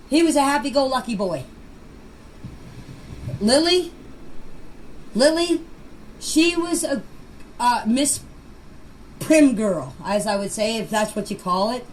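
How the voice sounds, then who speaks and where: A woman's voice: a little high, poor audio. An older woman informally recounting memories in a plain-spoken, folksy way, often repeating her phrases. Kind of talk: informal personal recollection. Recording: clear background noise, amateur voice clip.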